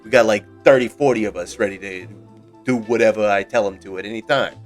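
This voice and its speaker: A man's voice: fairly low pitch, fast, soft background music, close to an everyday voice. A game master voicing a brash, bossy middle-aged male gang boss who talks like a wheeler-dealer. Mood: bargaining.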